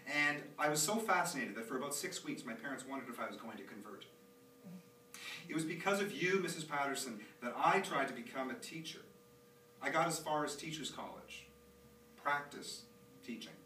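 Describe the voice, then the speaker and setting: A man's voice: mid-range voice, sharp tone. A man performing a theatrical monologue as an anxious, chatty, nostalgic young dad, rambling expressively in a live reading. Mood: fond, reminiscing. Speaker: author performing own monologue. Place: small theatre stage, recorded live from a distance. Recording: roomy, distant, noisy live theatre recording.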